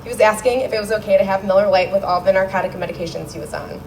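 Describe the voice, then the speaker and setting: Daughter giving a heartfelt eulogy speech. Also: female voice, medium pitch, rapid-fire, darkish tone, slight background noise, rough recording. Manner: heartfelt, wry storytelling. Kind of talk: memorial speech read aloud. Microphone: handheld microphone.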